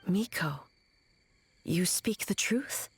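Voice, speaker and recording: A woman playing a stern, solemn shogun in a video game, speaking formally and gravely with a slightly husky voice. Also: lowish voice, animated, slow, heavily acted. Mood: questioning. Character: stern, solemn immortal female shogun, resolute. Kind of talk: English video game voice acting.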